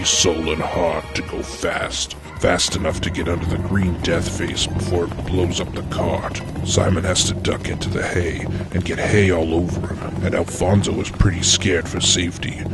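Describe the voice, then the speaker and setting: A man with a very low voice narrates a comic adventure story. His delivery is deadpan and full of gravelly fry. Music plays clearly behind him. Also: moderate pace. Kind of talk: comedic scripted story narration.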